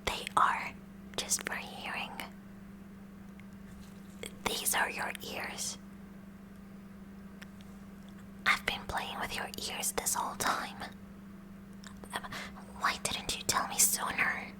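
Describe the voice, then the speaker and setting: A woman playing a kooky, curious high school science club president in a whispered ASMR roleplay, softly and hesitantly chatty. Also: slow, pauses often, natural voice. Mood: curious, probing. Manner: soft, hesitant, inquisitive, chatty.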